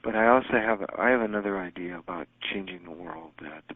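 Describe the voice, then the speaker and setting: A man's voice: low voice, a little fast, slightly rough, dry recording. A man hosting a teleconference, chatting casually and rambling with many fillers and restarts. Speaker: teleconference host answering callers. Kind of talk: casual phone chat. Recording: phone-line audio.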